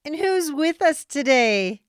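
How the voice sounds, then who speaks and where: A woman's voice: sits high, very animated, slow pace, heavy background noise. A woman hosting a children's radio show, enthusiastic and welcoming, on a studio mic. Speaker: radio host.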